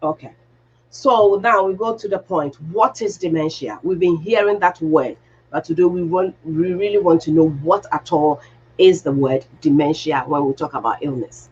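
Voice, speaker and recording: Husky-voiced radio host woman, muffled. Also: low-pitched, moderate pace, darkish tone. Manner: direct, asks plain questions. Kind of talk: radio health talk hosting. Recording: dull muffled radio audio.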